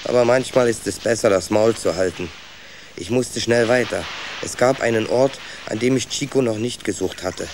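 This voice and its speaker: A man's voice: fairly low pitch, moderate pace, close to an everyday voice. Man as curious young rat, noisy ambience. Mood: absorbed storytelling. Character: adventurous, curious, stubborn young male rat narrator. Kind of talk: first-person storytelling in children's radio play. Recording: clear ambient effects behind.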